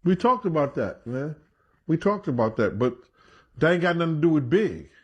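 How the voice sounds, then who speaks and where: A man with a high voice makes his case in blunt street slang. He repeats phrases for emphasis and leans on pointed rhetorical questions. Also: very animated, moderate pace, breathy edge, muffled recording.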